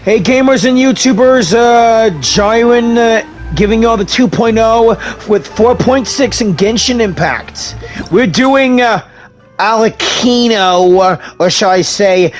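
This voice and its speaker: A man giving casual commentary as he plays a story quest, rambling and filling gaps with stalls. He talks slowly in a high voice. Faint game music plays beneath. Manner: rambling, halting, many fillers. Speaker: gaming YouTuber. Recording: voice over game audio with music.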